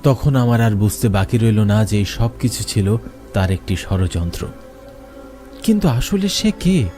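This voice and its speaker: A man with a fairly low voice tells a ghost story in the first person, building suspense line by line. Clear music plays under his narration throughout. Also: animated, measured pace, mellow.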